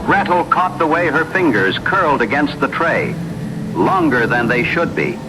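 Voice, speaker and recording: A man narrates a scripted horror tale in a slightly high voice. Loud rumbling, radio-like noise runs behind the narration. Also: sing-song, measured pace.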